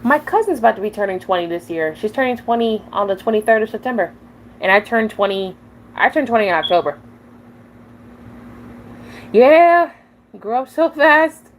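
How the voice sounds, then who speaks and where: A woman's voice: on the high side, animated, normal speed, long pauses, slight background noise, low-quality recording. Chatty nineteen-year-old woman streamer. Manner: rambling, chatty, answers chat, frequent giggles and restarts. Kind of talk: casual live-stream chat.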